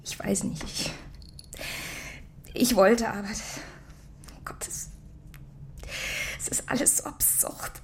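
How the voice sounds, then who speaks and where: An actress playing a frightened mother on the phone, speaking in a hushed, halting whisper in a radio play. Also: mid-range voice, creaky. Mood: anxious. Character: frightened mother whose husband was abducted.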